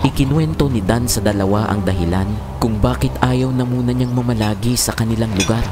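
A man's voice: medium pitch, brisk. A man narrating a scripted horror story in a dramatic style. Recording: loud music bed behind voice.

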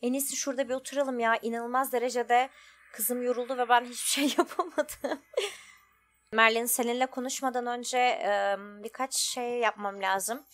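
A woman's voice: a little high, steady tone, moderate pace, fairly bright tone. A woman giving casual, chatty let's play commentary, thinking aloud as she goes. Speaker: gaming video host.

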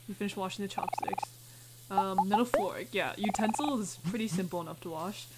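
Girl gamer doing chill lets play commentary, chatty, reads stuff out, really noisy recording. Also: mid-range voice. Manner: chatty, thinking aloud with drawn-out reactions. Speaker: gaming video host. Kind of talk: casual let's play commentary, reading game text aloud.

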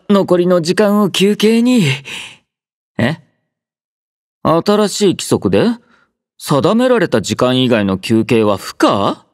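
Young anime game soldier: aloof yet quietly caring, unhurried delivery with lively swings in pitch, close dry sound. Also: male voice, dry recording. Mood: dry, mildly protesting. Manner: languid, dryly teasing, understated. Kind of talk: Japanese anime-style game character voice lines.

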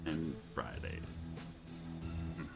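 A man in his thirties streaming live gameplay commentary, swearing and venting frustration at the game. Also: extremely slow. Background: game music.

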